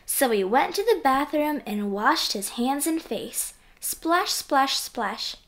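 A woman with a high voice reads a children's storybook aloud. She goes slowly, swinging widely in pitch and playing up every sound word in an animated way. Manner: animated, acting out sound effects. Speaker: reader of children's books. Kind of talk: expressive storybook read-aloud.

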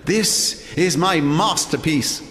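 An actor playing an eccentric, forgetful old architect in a video game, boastful, rambling and theatrical. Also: male voice, on the high side, takes it slowly, fairly bright tone, a little hiss or hum. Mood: proud. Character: eccentric, forgetful old male genius architect, proud and unhinged. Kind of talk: dramatic video game acting. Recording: echoey hall with long reverb.

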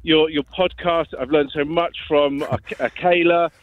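Guy phoning into a radio show, highish voice, halting and apologetic, thin phone sound. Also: steady tone, no echo. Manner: hesitant, apologetic, repeats himself. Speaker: phone-in caller. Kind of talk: casual, earnest phone-in conversation. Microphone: telephone. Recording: tinny narrow-band phone line.

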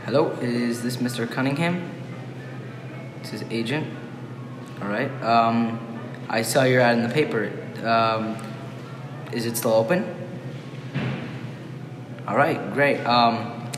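A young man playing a brisk land buyer, echoey room. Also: mid-range voice, background noise, lightly acted. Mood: businesslike. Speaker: actor in a school play. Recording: echoey room with music behind.

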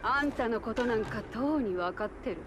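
A woman's voice: on the high side, lively intonation, very fast, noisy background, close to an everyday voice. An actress playing a confident, mocking swordswoman in a video game, with a teasing, self-assured delivery. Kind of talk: Japanese video game character acting. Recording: game trailer with music behind.

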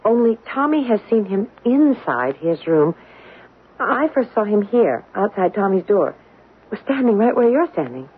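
A woman playing an uneasy, haunted mother in a 1970s radio drama, speaking haltingly. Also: medium pitch, very animated, slightly rough. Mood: hesitant, anxious. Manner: hesitant, halting delivery. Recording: dull lo-fi 1970s radio broadcast.

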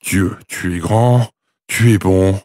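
An actor playing a placating, bumbling divine boss, hesitant and colloquial, in a comedic audio drama with noticeable background noise. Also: male voice, sits low, slow, darkish tone, dry recording, studio quality. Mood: placating, flustered.

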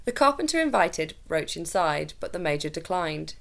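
A woman's voice: sits low, sing-song, moderate pace, creaky, a bit bright. A young adult woman narrating a children's storybook expressively, recorded indoors in a small room. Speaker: teacher reading a story aloud.

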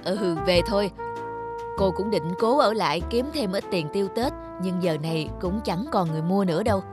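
A woman's voice: medium pitch, moderate pace, natural voice. A kindly woman vendor from a cartoon, acted by a woman. She sounds resigned and caring as she advises, over background music.

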